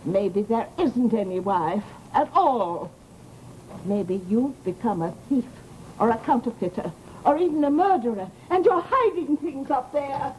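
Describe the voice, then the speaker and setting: Nosy widowed landlady, American, aggrieved and garrulous, very animated high voice, vintage radio drama with faint noise. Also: unhurried. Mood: accusing, indignant. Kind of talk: 1940s American radio horror acting. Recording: noisy old-time radio recording.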